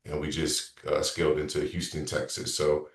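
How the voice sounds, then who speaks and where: A man's voice: very deep voice, moderate pace, roomy sound, far from the mic. A man in his thirties, a real estate coach, talking casually and rambling a little through a sales consultation on a video call. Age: 30s. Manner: relaxed, rambling, self-correcting.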